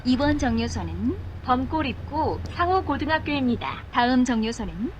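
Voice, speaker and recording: High-pitched woman announcing bus stops. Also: sing-song. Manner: formal, measured. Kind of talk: scripted transit announcement. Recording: noisy recording inside a moving bus. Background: vehicle noise.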